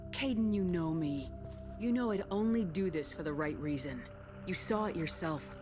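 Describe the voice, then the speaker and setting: A woman's voice: lowish voice, expressive, normal speed, over music, close to an everyday voice. A woman playing a resolute military commander, firm, controlled and serious, in a dramatic video game. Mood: firm, insistent.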